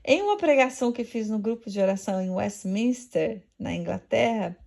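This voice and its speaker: A woman with a Portuguese accent giving a measured, devout religious reflection read from a script. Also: medium pitch, lively intonation, vocal fry, a little mellow.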